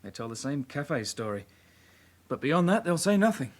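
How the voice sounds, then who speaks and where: Plain-spoken young detective side-kick. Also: male voice, fairly high pitch, very animated, moderate pace. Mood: matter-of-fact. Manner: matter-of-fact, clipped reporting. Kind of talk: radio drama acting.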